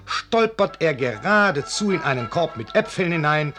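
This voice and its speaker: A man narrating a literary radio play as a storyteller, measured and formal toward the listener. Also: on the high side, sing-song, pushed and bright. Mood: measured, storytelling. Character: storyteller addressing the gentle listener. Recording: faint music behind.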